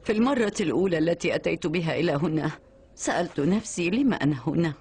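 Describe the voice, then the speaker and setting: A woman's voice: on the low side, animated, fast talker, breathy edge, heavily acted. A woman playing a dignified aristocratic old grandmother, a duchess, with formal, stately speech in an Arabic cartoon dub. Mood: gracious, reminiscing. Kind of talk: dubbed Arabic cartoon acting. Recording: loud background music.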